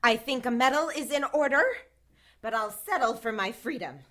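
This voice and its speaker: A woman's voice: a little high, normal speed, bright, forceful tone. A woman playing a defiant witch in an audio drama, with a sardonic, taunting manner. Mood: cool, sardonic. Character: defiant, sardonic witch who killed her father.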